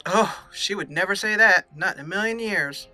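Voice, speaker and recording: A woman hosting a gaming channel with a bright, forward voice at a middle pitch. She chats through a roleplay let's play, giggly and informal, sometimes reading lines in character. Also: normal speed, no room sound, soft background music.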